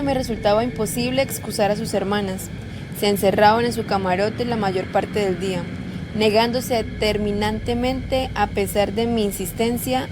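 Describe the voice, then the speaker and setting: Young woman narrating over clear background noise. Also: medium pitch. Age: young adult. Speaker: student narrator. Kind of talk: scripted literary narration. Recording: noisy amateur recording.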